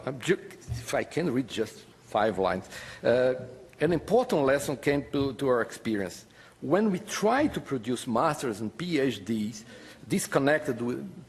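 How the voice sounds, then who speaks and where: A man who is not a native English speaker giving a halting, self-correcting conference presentation. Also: mid-range voice, husky. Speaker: academic conference panelist. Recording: reverberant hall sound.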